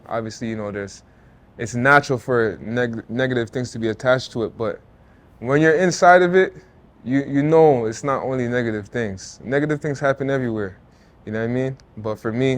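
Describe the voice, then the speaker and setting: A man in his thirties with a voice of middling pitch. He talks as an interview guest in a relaxed, earnest, conversational way. He often drops in "you know" and "like" and restarts his phrases. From Jamaica, raised in Toronto. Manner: laid-back and earnest, with frequent "you know" and "like" and some restarts. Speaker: interview guest, former football player and artist. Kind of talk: casual reflective interview talk.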